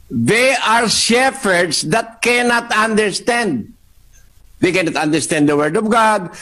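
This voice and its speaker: A man with a Filipino accent answering a Bible question in a sermon, slow and emphatic, repeating his key phrases. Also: high-pitched, a bit bright. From Philippines.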